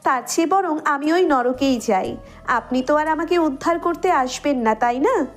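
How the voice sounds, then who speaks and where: A woman's voice: high voice, moderate pace, soft background music, lightly acted. A woman playing a hurt, pleading and reproachful young woman in love in a Bengali romantic audio story. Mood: bitter, wounded.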